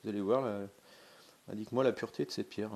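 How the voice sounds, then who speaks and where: Low-voiced hesitant man, a bit stuffy. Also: quick pace. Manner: hesitant, many fillers and restarts, relaxed and chatty. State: has a cold. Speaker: pendulum dowsing vlogger. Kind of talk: casual demonstration vlog.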